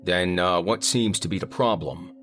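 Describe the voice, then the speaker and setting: A man's voice: deep voice, normal speed, fairly bright tone, faint music behind. A man playing a harried night-shift manager. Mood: impatient. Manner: polite, strained customer-service tone. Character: harried middle-aged male customer service manager.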